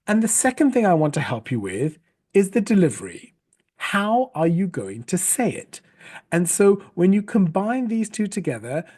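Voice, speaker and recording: Brief: man from Sri Lanka, husky, lively intonation, patient teacher explaining step by step. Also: on the high side. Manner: patient and explanatory, with frequent restarts. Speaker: storytelling coach. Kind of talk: online teaching talk.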